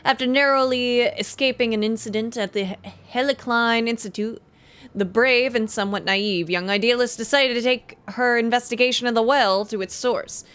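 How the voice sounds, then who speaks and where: A woman's voice: a little high, a bit bright, smooth. A woman giving casual livestream commentary on a game and reading its text aloud. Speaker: game streamer. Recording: faint music behind the voice. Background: game music.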